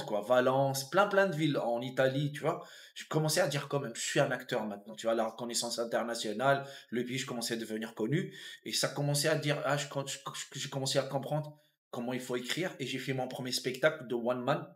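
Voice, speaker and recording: A man in his fifties from Tunisia with a Tunisian accent, a comedian and actor telling his life story in a relaxed interview, thoughtful, with frequent restarts and fillers. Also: mid-range voice. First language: Arabic. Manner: reflective, repeats and restarts words, many fillers.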